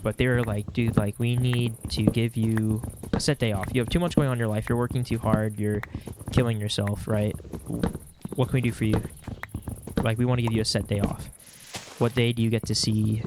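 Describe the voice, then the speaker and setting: A man's voice: fairly low pitch, very noisy. Stuffy-nosed young man chatting while gaming. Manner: rambling, with many fillers. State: stuffy nose. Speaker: Minecraft vlogger. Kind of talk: casual chat while gaming.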